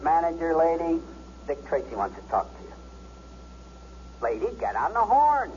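An actor playing a curt, sneering hostage-taker in a radio drama. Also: male voice, a little high, wildly swooping pitch, normal speed, a little mellow. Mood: cocky, taunting. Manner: curt, taunting. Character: cocky, sneering male hostage-taker gunman. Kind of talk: 1970s radio drama acting. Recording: muffled 1970s radio recording with hum.

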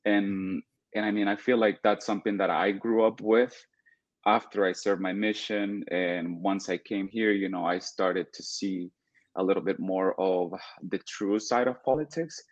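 A young man from El Salvador, speaking as a guest in an interview. He talks earnestly and deliberately, with many "uh" and "you know" fillers. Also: fairly low pitch, fairly even intonation, dry recording, dull audio. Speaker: guest, political activist. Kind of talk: podcast interview.

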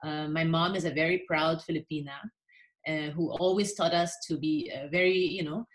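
A middle-aged woman from the Philippines speaks as an interview guest, her voice sitting low in pitch. She talks earnestly and enthusiastically, leaning on fillers as she goes. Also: steady tone, normal speed. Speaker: podcast guest. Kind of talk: conversational interview.

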